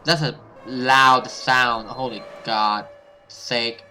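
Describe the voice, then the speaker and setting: A man doing expressive let's play commentary and dramatic read-aloud of a horror visual novel as a gaming host. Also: mid-range voice, very slow, soft background music. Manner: expressive, reactive, sweary.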